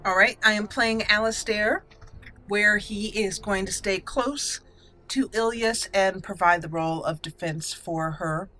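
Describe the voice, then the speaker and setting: A woman's voice: medium pitch, measured pace, bright, forceful tone, rough recording. A woman giving casual video game commentary as a let's play host, musing and talking through her choices. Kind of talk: casual gameplay commentary, thinking aloud.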